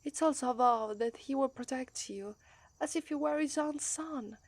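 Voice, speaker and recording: Woman voicing a demon princess bride, Italian accent, breathy, fairly high, soft-spoken, hesitant, close dry sound. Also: normal speed, dry recording. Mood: wistful. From Italy. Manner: gentle and soft-spoken, often hushed to a whisper, with nervous hesitant restarts. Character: shy, affectionate young female demon princess bride. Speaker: voice actress. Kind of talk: ASMR roleplay.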